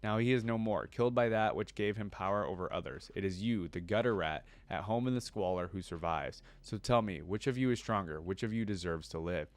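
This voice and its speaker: A man voicing a cruel, hulking half-machine monster from a story, commanding and taunting in a deliberate way. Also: low voice, dry recording, natural voice.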